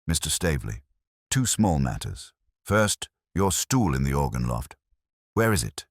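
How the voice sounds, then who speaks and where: A man voicing a gentle, dryly witty police inspector in an audiobook mystery, measured and quietly authoritative. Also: rumbling low, big pitch swings, dry recording, studio quality, heavily acted. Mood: calm, probing.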